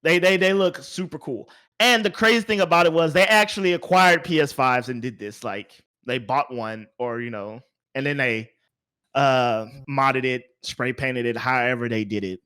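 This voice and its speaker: A man's voice: medium pitch, moderate pace, bright, forceful tone, clean tone. A man hosting a podcast in casual, opinionated chat, speaking emphatically and repeating words for emphasis. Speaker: podcast host.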